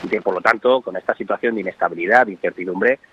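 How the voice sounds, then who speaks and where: A man giving worried, analytical economic commentary on the radio, pausing often on "eh". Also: low voice, breathy edge, muffled recording. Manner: worried, analytical, with frequent "eh" fillers.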